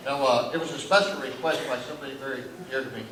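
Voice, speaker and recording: A man's voice: mid-range voice, fairly even intonation, fast. Man chatting on stage, distant and echoey. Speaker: banjo player, interview guest. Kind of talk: casual explanatory talk on stage. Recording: echoey hall, distant noisy microphone.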